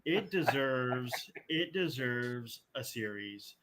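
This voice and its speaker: A man's voice: medium pitch, lively intonation, takes it slowly, noisy background. A man hosting a casual panel podcast, speaking emphatically and repeating words as he builds a point. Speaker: podcast host.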